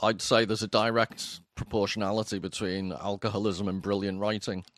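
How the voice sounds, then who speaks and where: A man with a fairly low voice chats casually as a guest and puts dry, teasing jokes into the talk. His voice sounds close and dry, with no room echo. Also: level tone, normal speed, a bit bright. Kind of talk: casual chat.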